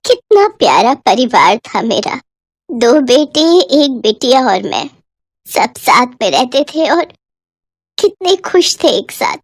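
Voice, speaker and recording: An aging widowed mother, acted by a woman storyteller, affectionate and plaintive. She speaks high, with motherly, gentle chiding, in a dry recording. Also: very animated, slow pace, slightly rough, dry recording, exaggerated voice. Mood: wistful.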